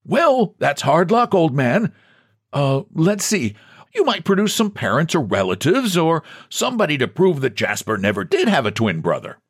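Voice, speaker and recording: A smooth middle-aged bank president talks down with easy condescension, as a male narrator plays him. His voice sits higher than the narrator's and moves around a lot. The sound is dry and close. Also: wildly swooping pitch, moderate pace, fairly bright tone, no echo, full character voice. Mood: falsely comforting. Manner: easy, patronizing.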